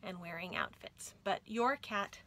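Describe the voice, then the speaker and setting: A woman hosting storytime for young children, reading aloud in an animated, expressive way and speaking to them directly. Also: fairly low pitch, unhurried, creaky, a little hiss or hum. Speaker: children's library storytime host.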